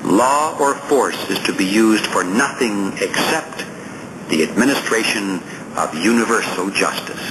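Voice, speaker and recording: Man narrating an essay over clear background noise. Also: on the low side, expressive. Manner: deliberate, emphatic oratorical reading with rhetorical questions and lists. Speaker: audiobook narrator. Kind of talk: scripted narration of a political essay. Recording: clearly noisy audiobook recording.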